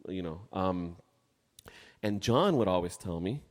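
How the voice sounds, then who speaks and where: Male co-host, forties, lowish pitch, casual conversational delivery, long reverb on the recording. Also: expressive, unhurried, darkish tone. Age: 40s. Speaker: talk show co-host. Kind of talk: casual chat.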